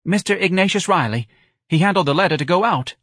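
Audiobook role: man playing anxious, meek male office manager, high shrill pipe, flustered. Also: quick pace, fairly bright tone, slightly rough, dry recording, clean studio sound, exaggerated voice. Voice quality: shrill, piping. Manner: quavering, flustered. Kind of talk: audiobook character voice.

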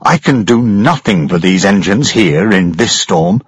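A man's voice: on the low side, expressive, measured pace, husky, dry recording. A man voicing a frightened but firm male engineer travelling on a ship. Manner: urgent, firm. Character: alarmed male engineer passenger.

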